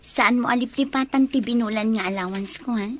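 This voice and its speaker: A woman's voice: fairly high pitch, a little fast, soft background music, natural voice. An actress playing a money-minded, pushy woman with a Filipino accent in a radio comedy-drama. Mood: demanding.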